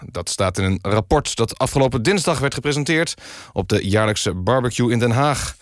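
A man hosting a satirical radio news magazine, presenting mock-serious news in a deadpan manner. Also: medium pitch, moderate pace, dry recording, studio quality. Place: radio studio.

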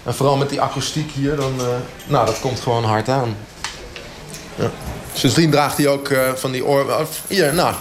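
A man playing a blunt, oblivious fitness coach who explains things haltingly and matter-of-factly in a mock radio interview. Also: mid-range voice, slight background noise, lightly acted. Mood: defensive, halting. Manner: halting, hesitant, matter-of-fact.

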